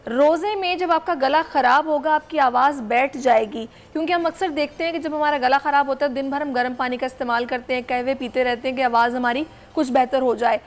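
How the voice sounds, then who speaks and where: A woman co-hosts a studio talk show. She chats in a lively, playful way and keeps pressing the guest with questions. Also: high voice, fairly quick, few pauses.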